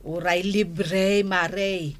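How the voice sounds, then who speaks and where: A woman's voice: fairly low pitch, steady tone, extremely slow. A woman playing a grateful, easygoing village hairdresser in a comedy drama. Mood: grateful, agreeable.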